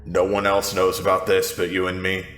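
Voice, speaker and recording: A man's voice: low voice, completely flat delivery, normal speed, a bit bright, faint music behind. A man playing a troubled, hard-edged woman pressing for answers in a tabletop game. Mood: probing.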